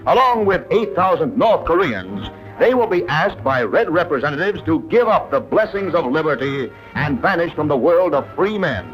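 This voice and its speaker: A man's voice: on the high side, sing-song, moderate pace, few pauses. An American man reading scripted 1950s newsreel narration with emphatic, announcer-style delivery. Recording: dull old newsreel soundtrack, prominent music. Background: newsreel music.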